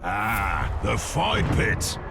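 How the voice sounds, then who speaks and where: An actor playing a cocky, bloodthirsty orc henchman in a video game, sardonic and swaggering with a gravelly voice. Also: lowish voice, wildly swooping pitch, very slow, exaggerated voice. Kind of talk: video game character acting. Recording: loud music behind the voice.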